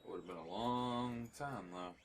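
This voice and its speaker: A man's voice: mid-range voice, normal speed, slight background noise. A man giving casual, offhand commentary as he plays a game. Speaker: gameplay streamer.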